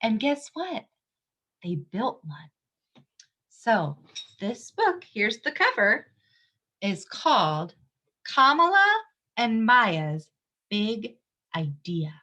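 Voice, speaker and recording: A woman reads a storybook to small children in a bright, fairly high voice. She takes her time, leaning on key words and pausing to put simple questions to her young listeners. Her intonation rises and falls expressively throughout. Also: big pitch swings. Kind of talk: picture-book read-aloud with teacherly asides and questions.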